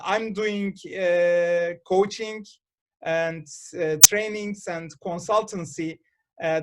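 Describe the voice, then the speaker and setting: A middle-aged man with a Turkish accent hosting a video call interview, halting with many fillers and restarts. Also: sits high. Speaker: host and consultant.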